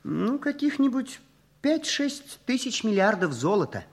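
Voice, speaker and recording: High-voiced absent-minded young genius. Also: male voice, big pitch swings, a little slow, exaggerated voice. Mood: quietly confident. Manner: pedantic, matter-of-fact, hesitating with little hums. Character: absent-minded young eccentric genius, calmly stubborn and literal-minded. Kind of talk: Soviet 1960s radio play acting.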